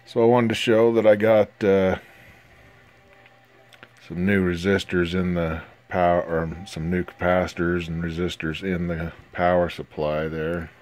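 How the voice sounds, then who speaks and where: A man giving a casual, halting, low-key progress report on a repair, recorded on a phone at a workbench. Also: level tone, a little mellow. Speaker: hobbyist radio restorer. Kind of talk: casual narrated progress video. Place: indoors at an electronics workbench. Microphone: handheld phone.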